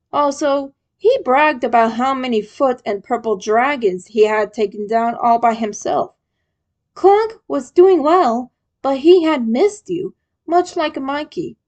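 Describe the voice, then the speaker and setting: A woman narrates a piece of fan fiction in a high voice. She takes her time over the scripted lines, reading at a slow, measured pace. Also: a little mellow. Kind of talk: scripted story narration in second person.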